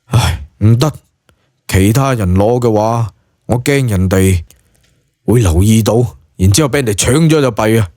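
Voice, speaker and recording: A man's voice: fairly low pitch, normal speed, dark tone, no room sound, studio quality, pitched below the performer's own voice, lightly acted. A man voicing a brash, foul-mouthed young male hero of an audio drama, blunt and slangy, with a Hong Kong Cantonese accent. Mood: reluctant.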